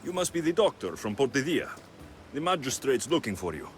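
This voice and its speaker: An actor playing a bold ancient Greek mercenary hero in a video game, speaking in a direct, probing and firm way. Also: male voice, mid-range voice, big pitch swings, brisk, slight background noise, natural voice. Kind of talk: dramatic video game acting. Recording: game cutscene with music behind.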